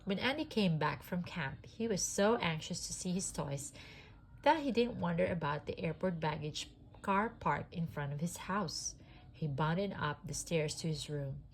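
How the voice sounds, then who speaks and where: A sniffly woman reading a children's storybook aloud in an expressive, homemade style. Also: fairly low pitch, a little slow, slight background noise, low-quality recording.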